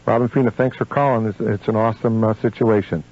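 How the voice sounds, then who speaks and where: A man's voice: lowish voice, steady tone, fast talker, darkish tone. A man hosting a live local radio show, chatting with effusive exclamations of praise. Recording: dull lo-fi AM radio recording.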